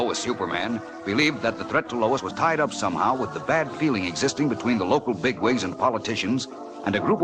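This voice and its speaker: A man's voice: medium pitch, rough recording. A man narrating a radio serial recap in a dramatic, theatrical 1940s announcer style. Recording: old radio recording, loud music behind.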